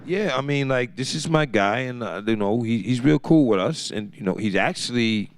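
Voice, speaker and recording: A man's voice: mid-range voice, animated, normal speed, clean tone, dry recording. A man bantering in laid-back slang on a talk show.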